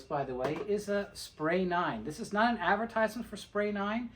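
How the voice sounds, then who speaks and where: A man presenting an informal how-to video, explaining things step by step in a methodical, plain-spoken way. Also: a little high. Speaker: presenter of a how-to video on restoring tape reels. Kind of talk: informal instructional demonstration.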